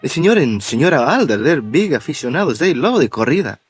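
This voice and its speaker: A deferential Venezuelan houseman in a vintage radio drama. His Spanish-accented voice is slightly high and husky, swinging widely in pitch as he hesitantly hunts for English words. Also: sing-song, quick pace, soft background music. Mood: eager, admiring. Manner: polite, hesitant, searching for words. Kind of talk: old-time radio detective drama acting.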